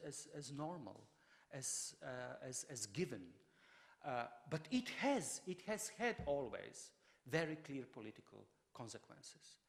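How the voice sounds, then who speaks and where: Halting man lecturing in a hall. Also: on the high side. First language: Croatian. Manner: halting, many fillers and restarts. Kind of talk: public lecture.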